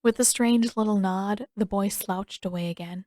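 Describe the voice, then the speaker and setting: A woman narrates a story in audiobook style. Her voice sits at a medium pitch, and the recording is dry, with hardly any room sound. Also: moderate pace, mellow, no echo.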